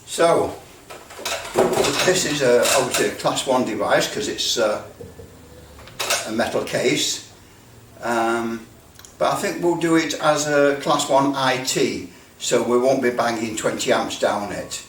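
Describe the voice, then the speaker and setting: A British man giving a casual hands-on demonstration, halting as he thinks aloud. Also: medium pitch. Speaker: presenter demonstrating electrical testing. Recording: roomy, distant mic with background noise.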